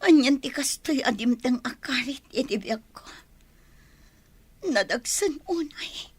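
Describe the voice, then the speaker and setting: A woman's voice: high-pitched, lively intonation, unhurried, slightly rough, no room sound, full character voice. A woman with an Ilocano accent acting a grieving, anguished village daughter in a radio drama. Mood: subdued grief.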